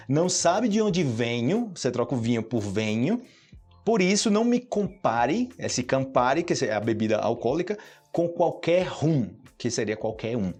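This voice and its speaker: A man's voice: mid-range voice, a little mellow. A Brazilian man with a Brazilian Portuguese accent, teaching Portuguese in a casual, chatty way and often checking in with his listeners. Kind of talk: casual explanatory lesson.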